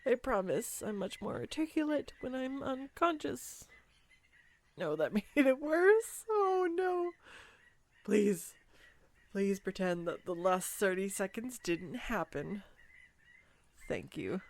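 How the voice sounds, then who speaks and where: A woman playing a clumsy, nervous, giddy young woman on a first date in a romantic audio roleplay, rambling awkwardly and self-deprecatingly with halting stumbles. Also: medium pitch, big pitch swings. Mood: flustered, embarrassed. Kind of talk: scripted romantic audio roleplay addressed to the listener.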